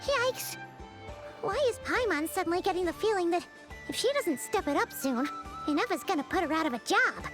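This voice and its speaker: A woman voicing a tiny, childlike fairy companion in a video game, squeaky, chirpy, curious and exclamatory. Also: expressive, moderate pace. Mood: startled, uneasy. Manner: refers to self in third person, exclamatory. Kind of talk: video game character dialogue. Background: music.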